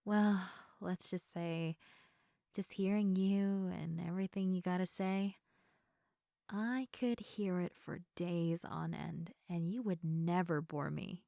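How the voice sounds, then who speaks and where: A young woman acts as a drowsy, adoring girlfriend in an intimate audio roleplay. Her delivery is unhurried, tender and playful, with a hesitant softness. The sound is close and dry, as if she is talking to one person right beside her. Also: on the low side, fairly even intonation, gravelly, dry recording. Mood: tender, sleepy. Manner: soft, giggly, hesitant, tender. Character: sleepy, affectionate girlfriend, gentle, playful and sincere. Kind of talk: intimate sleep-aid roleplay addressed to the listener.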